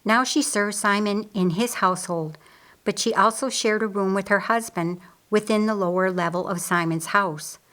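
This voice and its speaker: A woman reading historical fiction aloud as an expressive audiobook narrator. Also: medium pitch, moderate pace.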